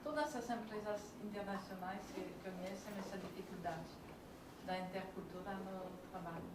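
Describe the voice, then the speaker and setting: A woman's voice: mid-range voice, moderate pace. A woman from France with a French accent, speaking in a second language as a student explaining things to a class. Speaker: student introducing herself. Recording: noisy echoey room, far from mic.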